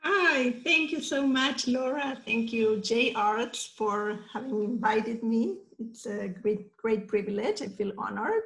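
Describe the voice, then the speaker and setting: A woman in her fifties with an Argentine accent and native Spanish, talking informally as a guest in a measured, halting way, searching for words. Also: fairly high pitch, animated, a bit bright. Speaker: guest, mosaic artist. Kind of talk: informal interview talk. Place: small indoor studio room. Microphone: computer mic on video call. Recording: roomy video-call sound.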